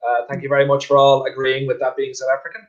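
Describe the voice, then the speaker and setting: A man's voice: medium pitch, completely flat delivery, very fast. A man hosting a casual football podcast, reading out viewer comments in an easygoing, jokey way. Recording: dull, muffled audio.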